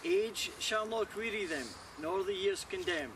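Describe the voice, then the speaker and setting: A man leading an outdoor remembrance ceremony, speaking slowly and formally. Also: sits high. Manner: slow, formal. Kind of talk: solemn ceremony speech. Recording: distant outdoor recording with background noise.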